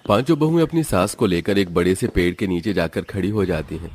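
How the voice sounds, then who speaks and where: A man's voice: deep voice, a little fast, darkish tone. A man reading scripted narration for a story.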